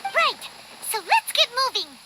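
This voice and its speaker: Little eager sidekick: extremely high, squeaky, swooping childlike voice, unhurried, clear noise behind. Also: female voice, sing-song, bright, forceful tone, exaggerated voice. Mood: eager, surprised. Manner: exclamatory, eager questioning. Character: tiny excitable childlike floating companion, eager and curious. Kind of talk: scripted video game dialogue.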